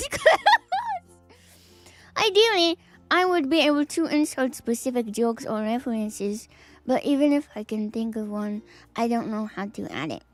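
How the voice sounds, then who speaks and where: A woman streamer reading viewer fanfics aloud on a live stream, playful and giggly, with teasing asides. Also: on the high side.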